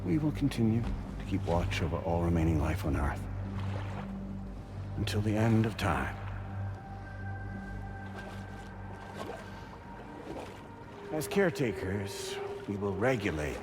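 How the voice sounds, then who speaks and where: A man playing a calm, coldly paternal artificial intelligence in a video game, speaking in formal, measured, grandiose speeches over music. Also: sits low. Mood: serene, assured. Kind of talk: cinematic video game voice acting. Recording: music behind the voice.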